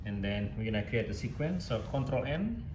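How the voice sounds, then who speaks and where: A man's voice: mid-range voice, big pitch swings, a little fast. Man giving tutorial in echoey, noisy room. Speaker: instructor demonstrating audio digitisation software. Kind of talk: step-by-step software tutorial, informal. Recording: echoey room, clear background noise.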